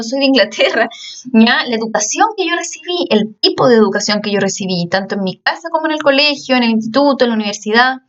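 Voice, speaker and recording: A woman's voice: a little high, fairly bright tone, far from the mic, muffled recording. A woman teaching a class, explaining step by step and checking in often with her students. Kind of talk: recorded class lecture.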